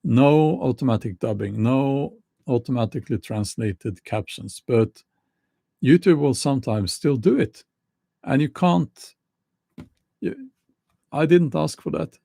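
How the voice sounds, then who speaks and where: A middle-aged man with a Norwegian accent talking casually to camera, slow and halting with many restarts. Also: medium pitch, gravelly, warm tone, no room sound, studio quality. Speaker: YouTuber.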